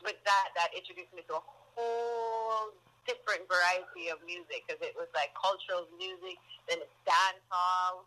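A woman's voice: fairly low pitch, steady tone, moderate pace, bright, forceful tone. A woman with a Jamaican accent, a singer and guest, telling her story in an upbeat, eager way. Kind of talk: interview answers. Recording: thin phone line.

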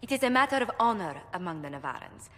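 A poised court ambassador. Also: female voice, mid-range voice, sing-song, fast talker, bright, forceful tone. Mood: diplomatic. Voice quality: smooth, gracious, diplomatic. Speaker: game character. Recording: reverberant game audio.

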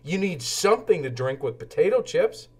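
Man voicing an eager little storybook worm, lively pitch. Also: moderate pace, slightly rough. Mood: excited, confident. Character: eager, helpful male worm friend.

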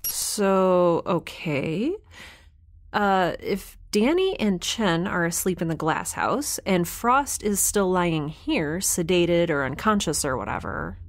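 Wry, hesitant young spaceship dweller, slowish. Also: female voice, fairly low pitch, animated, no echo, studio quality. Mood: rambling, thoughtful. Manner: rambling, hesitant, sarcastic asides. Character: young woman living aboard a spaceship, wry, curious, stubborn. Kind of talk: naturalistic sci-fi audio drama acting.

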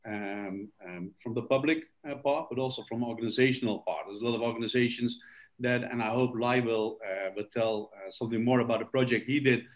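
A man's voice: medium pitch, brisk. A man talking on a video-call panel, hesitant with many fillers. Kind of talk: casual panel talk.

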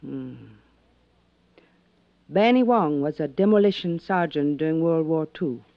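A matter-of-fact helper in a 1950s radio mystery. His voice is fairly high. The old recording is dry and slightly muffled. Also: a little slow, no room sound. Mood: serious, informative. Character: matter-of-fact man aiding an investigation. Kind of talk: 1950s radio drama acting.

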